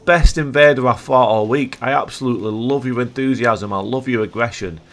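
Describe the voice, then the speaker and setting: Male gaming host, hoarse with a cold, enthusiastic and jokey, mid-range voice. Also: mid-range voice, fairly quick, a bit bright. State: croaky from a cold. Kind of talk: casual gameplay commentary.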